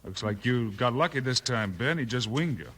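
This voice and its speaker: A man's voice: medium pitch, lively intonation, moderate pace, close to an everyday voice. An actor playing a decisive, heroic frontier lawman with a commanding, plain-spoken American delivery in a 1950s radio western. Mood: calm, commanding. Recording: noisy old 1950s radio recording.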